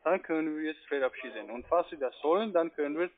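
A man with a foreign accent, not a native speaker, making an insistent phone sales pitch in halting phrases. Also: lively intonation. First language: not German. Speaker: call center investment agent. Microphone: phone call. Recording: tinny phone line, crowded background.

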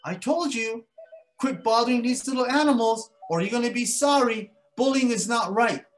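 A tiny, fearless mosquito, put on by a man with a thin, raised voice that climbs well above a normal speaking pitch and rings out loud. He scolds and warns sternly, leaning hard on every order. A storyteller's creature, small but fierce. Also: moderate pace. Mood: warning. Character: tiny bold male mosquito scolding a bully.

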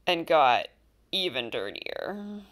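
A woman reading a children's picture book aloud, with expressive, deliberate storytelling and stressed key words. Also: sits low. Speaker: storybook reader.

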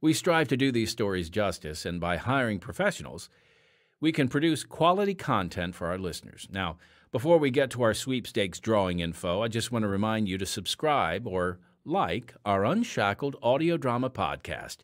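A man's voice: fairly low pitch, very animated, moderate pace. A man hosting a podcast in a scripted, radio-style announcing delivery. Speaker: podcast host.

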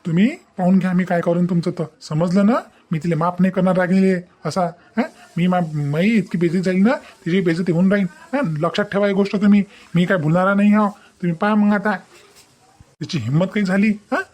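A man's voice: sits high, fairly even intonation, brisk, darkish tone. A man playing a furious jilted young groom in a cartoon family drama, threatening and repeating himself in a Varhadi Marathi accent. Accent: Varhadi Marathi, Vidarbha. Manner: threatening, repetitive, scolding.

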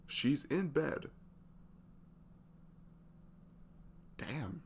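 Man acting deep-voiced, tired young single dad. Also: creaky, a little hiss or hum, full character voice. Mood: amused, fond. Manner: hesitant, halting, softly chuckling. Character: sweet, tired single dad in his twenties. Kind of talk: intimate ASMR boyfriend-style roleplay.

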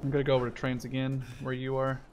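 A man with a mid-pitched voice talks casually as he plays a shooter, with gunfire clearly behind him. He rambles, repeats himself and swears freely, as if talking to a friend on the team. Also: completely flat delivery, quick pace, a little hiss or hum. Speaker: video game player. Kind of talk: casual gaming chat with a teammate. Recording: loud gunfire and explosions behind voice. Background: video game gunfire and artillery.

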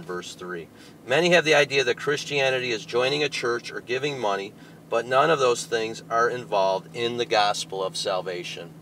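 A man preaching a fiery sermon, reading out a tract and rebutting it, moving between loud, emphatic bursts and low asides. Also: slight background noise, poor audio.

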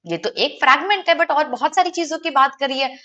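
A young Indian-accented woman works through exam answers for her online students. Her voice is fairly high and very bright, and she talks fast, putting emphatic questions to the class as she explains. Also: lively intonation. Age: young adult. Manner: mixes Hindi and English, addressing students directly. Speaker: exam prep teacher.

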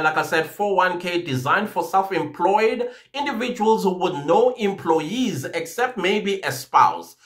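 A man in his forties talking casually to camera from a small home office, animated and addressing his viewers directly. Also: high-pitched. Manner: animated, gesturing a lot, speaks to viewers directly. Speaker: YouTube creator.

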